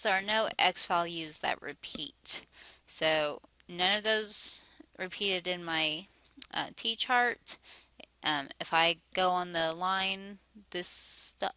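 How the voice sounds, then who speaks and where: A woman math teacher talks through her working one step at a time, methodical and steady. Her voice sits a little low but sounds bright and tense, slightly hoarse, and the sound is dry and close. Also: no room sound.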